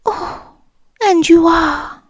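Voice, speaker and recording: A young British woman voicing a calm, controlling rich girlfriend in an ASMR roleplay, speaking slowly and softly and often whispering close to the mic. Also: extremely high pitch, dry recording, full character voice. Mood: possessive. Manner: slow, soft-spoken, often whispering close.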